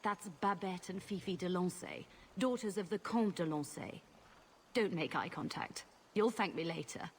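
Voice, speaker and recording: A woman's voice: lowish voice, normal speed, slight background noise, close to an everyday voice. A woman playing a sly, amused society lady in a fantasy game, speaking in an arch, confiding manner. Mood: gossipy.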